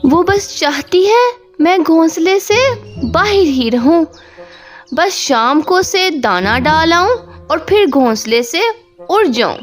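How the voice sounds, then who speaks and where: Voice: extremely high pitch, animated, slow, faint music behind, heavily acted. A performer voicing a meek young male husband bird in a Hindi cartoon, plaintive and pleading. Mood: worried, complaining.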